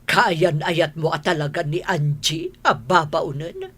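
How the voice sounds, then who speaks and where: Sharp, scolding rich mother. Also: low-pitched, big pitch swings, takes it slowly, breathy edge, exaggerated voice. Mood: disdainful prying. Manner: indignant, scolding. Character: domineering rich older mother, haughty and scornful. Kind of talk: radio drama acting.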